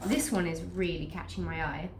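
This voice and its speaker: A woman's voice: fairly low pitch, fairly even intonation, moderate pace. A woman with a stuffy nose from a cold, chatting upbeat through a book box unboxing and reading the blurbs aloud. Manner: chatty, upbeat, restarts sentences. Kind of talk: casual unboxing chat with read-aloud blurbs. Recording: echoey room with faint noise.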